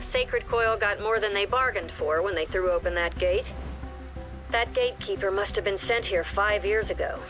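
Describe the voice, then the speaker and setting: An actress playing a calm female agency director who gives measured, authoritative briefings. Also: medium pitch, soft background music, natural voice, poor audio. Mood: commanding.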